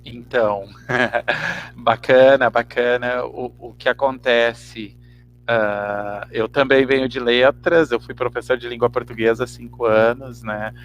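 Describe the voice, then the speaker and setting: A Brazilian man giving a reflective interview answer, halting and repeating words as he searches for the next one. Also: mid-range voice, little pitch movement, fairly bright tone, lo-fi sound, slight background noise. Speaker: actor and teacher interviewed.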